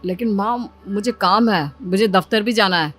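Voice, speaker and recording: A woman's voice: medium pitch, moderate pace, a bit bright, lightly acted. Woman voicing an insecure, grumbling young husband. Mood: irritated. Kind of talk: Hindi cartoon story voice acting. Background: music.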